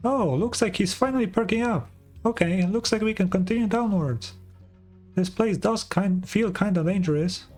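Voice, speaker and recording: A man voicing a small, excitable, childlike female fairy companion from a video game, in a chirpy manner. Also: high-pitched, normal speed, faint music behind, voice pushed up. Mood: chirpy, reassuring. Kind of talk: video game dialogue read aloud.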